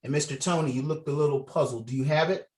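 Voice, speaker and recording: A man's voice: on the high side, fast, a little mellow. A man leading a script read over a video call, talking casually and encouragingly and reading out scene directions. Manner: encouraging, informal. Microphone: video call microphone.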